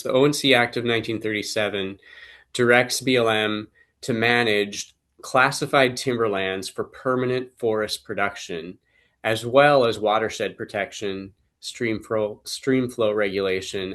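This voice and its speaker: Man, slightly low voice, hoarse with a cold, steady explanatory webinar talk. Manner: measured, explanatory, frequent uh and um fillers. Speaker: staff attorney presenting. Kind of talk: webinar presentation.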